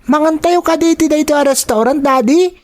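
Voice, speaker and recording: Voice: sits high, normal speed, a little mellow, lightly acted. An actor voicing a cheerful, eager young child in an Ilocano family drama. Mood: excited, delighted. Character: cheerful, excitable young child of doting parents. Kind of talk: Ilocano radio-style drama acting.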